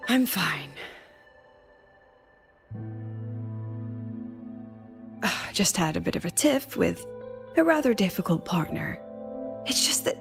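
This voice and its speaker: Creaky-voiced, weary academy president. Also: female voice, lowish voice, very animated, moderate pace. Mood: tired, wry. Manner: polite, diplomatic, dryly wry. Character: weary, wry academy president, adult woman. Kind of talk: video game character dialogue. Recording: background music under the voice.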